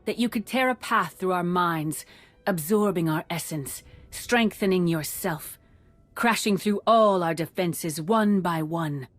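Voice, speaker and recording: A wise composed jedi master in a game, lowish voice, dry studio sound, quietly defiant. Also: female voice, dry recording, faint music behind. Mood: calmly defiant. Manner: measured, assured. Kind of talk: dramatic video game acting.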